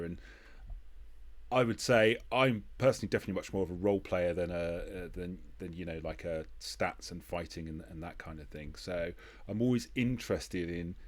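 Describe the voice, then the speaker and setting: Lower-pitched man hosting a casual chat. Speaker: podcast host and interviewer.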